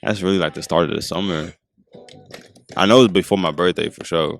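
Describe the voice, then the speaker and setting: A young man in his twenties with a very low, deep voice talks in a relaxed, rambling way, full of "you know" and "um". He speaks into a handheld mic outdoors at a skate park. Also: a little fast, vocal fry. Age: 20s. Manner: laid-back, rambling with many fillers. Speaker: podcast guest. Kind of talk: casual chat.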